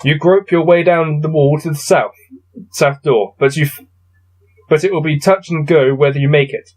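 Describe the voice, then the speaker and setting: A man reading a gamebook aloud and adding low-key, wry muttered commentary as he plays. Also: fairly even intonation, moderate pace, a little mellow. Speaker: solo gamebook player and reader.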